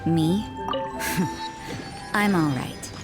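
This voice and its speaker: An actress playing a cool, cynical adult nun in a video game, talking in a dry, aloof and sarcastic way. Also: female voice, sits low, extremely slow, loud music behind the voice. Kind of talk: video game cutscene dialogue.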